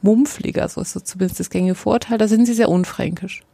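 A woman's voice: mid-range voice, steady tone, quick pace, vocal fry, warm tone, no echo. A woman hosting an interview, asking friendly, polite questions with some fillers. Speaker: interviewer, podcast host.

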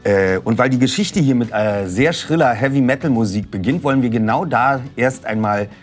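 A man's voice: mid-range voice, normal speed, soft background music. A man presenting a podcast in a conversational style, as its host.

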